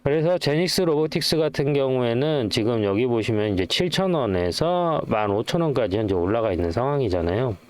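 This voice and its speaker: A man's voice: mid-range voice, expressive, a little fast, hardly any pauses. A middle-aged man hosting a stock trading channel, explaining charts informally and often putting rhetorical questions to his viewers.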